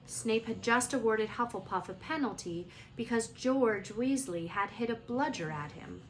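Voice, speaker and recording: A woman's voice: fairly high pitch, measured pace. Woman reading a storybook aloud, noisy recording. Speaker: teacher reading a book aloud. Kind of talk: expressive storybook read-aloud.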